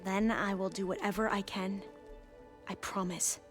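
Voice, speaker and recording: A woman's voice: medium pitch, flat delivery, a little slow, natural voice. Woman playing an earnest, dutiful young scholar. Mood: earnest, resolved. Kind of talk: video game cutscene acting. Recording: background music under the voice.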